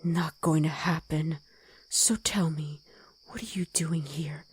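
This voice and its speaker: A woman voicing a gruff, protective wolf girl ex-soldier in an ASMR roleplay, stern and commanding, often in a hush. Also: deep voice, steady tone, slow pace, exaggerated voice. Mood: cold, suspicious.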